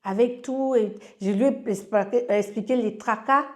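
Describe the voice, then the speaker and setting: A woman's voice: fairly high pitch, moderate pace. A woman from Cameroon with a Cameroonian French accent, sharing a personal testimony in casual storytelling, with frequent fillers and restarts as she relays what others said to her.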